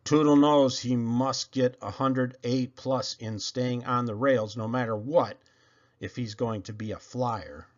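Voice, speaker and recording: A man reading a children's story aloud, narrating expressively with dramatic emphasis and drawn-out words. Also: medium pitch, fairly even intonation, highs cut off. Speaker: storybook reader.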